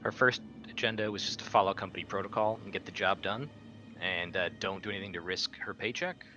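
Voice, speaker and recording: A man's voice: sits low, fairly quick, sharp tone, dull audio. A man casually recapping his characters as a player in a tabletop roleplaying game. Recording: faint background noise.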